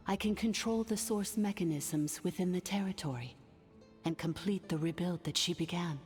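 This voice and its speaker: A woman voicing a solemn, ancient artificial intelligence ruler in a video game, speaking formally and gravely in a cavernous reverb. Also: mid-range voice, a little mellow, close to an everyday voice. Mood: resolute. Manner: formal, measured, grave exposition. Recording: long cavernous reverb, music underneath.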